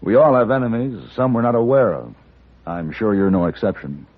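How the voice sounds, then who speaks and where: On a muffled vintage radio recording, a steely, courteous Western gun-for-hire. His husky voice moves freely up and down while his wording stays dry, formal and probing, in classic 1950s radio drama style. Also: lowish voice, very animated, a little fast. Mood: cool, firm.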